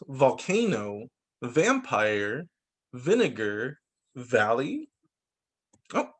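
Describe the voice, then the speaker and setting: A male teacher reading picture books aloud to young children over a video call, stopping now and then to explain things to them. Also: medium pitch. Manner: animated, stops to explain to kids. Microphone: laptop mic on video call.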